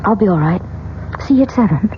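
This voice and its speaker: An actress playing an anxious, fragile young wife who longs for a child, in radio drama style. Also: female voice, medium pitch, lively intonation, fast talker, music in the background, lightly acted. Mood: downcast. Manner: pleading, fragile. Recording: muffled, noisy old radio broadcast.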